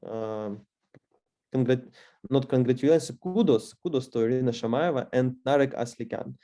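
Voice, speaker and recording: A man's voice: medium pitch, normal speed, mellow. A young man with a Russian accent, a native Russian speaker, giving a webinar lecture as course instructor with many fillers and restarts.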